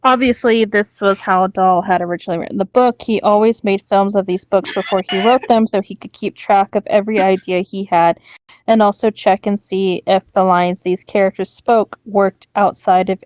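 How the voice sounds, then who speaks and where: A woman's voice: medium pitch, steady tone, normal speed, darkish tone, no room sound. A woman co-hosting, reading a story aloud and chatting casually, on a dull lo-fi microphone. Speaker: co-host reading and reacting to online horror stories.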